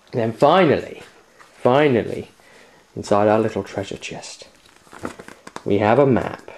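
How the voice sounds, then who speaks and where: A man's voice: on the low side, a little mellow, slight background noise, low-quality recording. Enthusiastic man reviewing tabletop games. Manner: enthusiastic, chatty, emphatic. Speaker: host of a tabletop gaming video series. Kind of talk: casual unboxing review, chatting to viewers.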